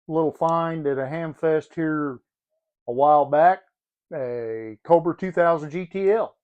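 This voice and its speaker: A man presenting an informal product demonstration in a halting, matter-of-fact way. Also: fairly high pitch, a little mellow, dull audio. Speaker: presenter showing a used CB radio.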